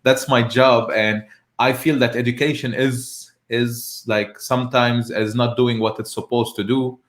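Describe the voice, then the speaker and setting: A man from Lebanon, a professor hosting a live online discussion, speaks earnestly and with emphasis, sometimes pausing to find his words. His mid-pitched voice carries a noticeable room echo. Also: flat delivery, fairly bright tone. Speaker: host and university professor.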